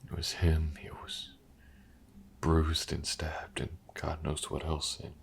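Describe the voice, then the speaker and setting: A man playing a weary, grieving man in an intimate audio drama, speaking in a hushed, halting, confiding way with a gravelly, husky voice. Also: a bass voice, monotone, in a whisper, full character voice. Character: weary, grieving man haunted by his murdered loved one. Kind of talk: intimate audio roleplay drama addressed to the listener.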